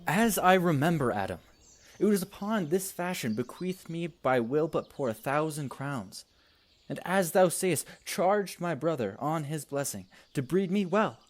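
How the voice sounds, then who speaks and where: A man's voice: on the high side, expressive, a little slow. Man acting an aggrieved, defiant young nobleman. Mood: brooding, aggrieved. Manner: declamatory, impassioned. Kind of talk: Shakespearean radio play acting.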